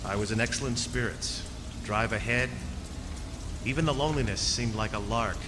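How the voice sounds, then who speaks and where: A man's voice: mid-range voice, moderate pace, noisy background, natural voice. A man with an American accent playing an uneasy traveler narrating his drive in an old-time radio drama, earnest and dramatic. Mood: reassuring, cheerful. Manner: earnest, dramatic, hesitant repetitions. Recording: reverberant radio drama with prominent music.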